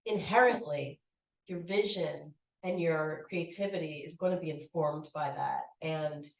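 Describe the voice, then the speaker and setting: Woman, panelist, animated, acts out quotes, lowish, distant mic, echoey hall. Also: unhurried, vocal fry. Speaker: panelist, anthology contributor. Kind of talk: panel discussion answer. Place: event hall. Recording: roomy echo, distant mic.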